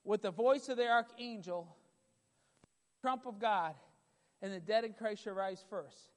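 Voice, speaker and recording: A man preaching a sermon with a loud voice, emphatic and repetitive, building in intensity. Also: high-pitched, unhurried. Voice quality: loud voice at times. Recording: long hall reverb.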